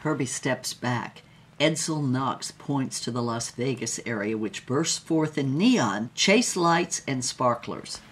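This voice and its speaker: A woman's voice: extremely low pitch, expressive, takes it slowly, exaggerated voice. A woman playing a wry, brassy showgirl who tells her own story in theatrical, vivid dramatized narration. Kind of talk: first-person dramatized narration.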